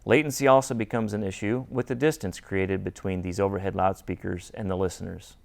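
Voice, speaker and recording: Male guest expert, fairly low pitch, rehearsed explainer delivery for a promotional interview. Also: fairly even intonation, fairly quick, gravelly. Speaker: guest expert, audio and acoustics designer.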